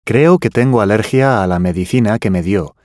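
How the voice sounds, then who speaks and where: A man reading scripted language-course dialogue with careful, clearly enunciated delivery. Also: lowish voice, normal speed, darkish tone, no room sound, clean studio sound.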